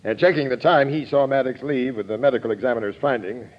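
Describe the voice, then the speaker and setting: An American man playing a brisk, confident police captain in an old-time radio crime drama. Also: mid-range voice, natural voice. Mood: matter-of-fact. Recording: muffled old radio recording.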